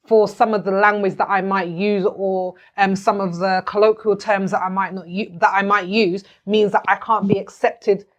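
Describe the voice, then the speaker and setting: A woman's voice: mid-range voice, little pitch movement, normal speed, few pauses. Earnest British woman. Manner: earnest, reflective. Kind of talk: candid conversation.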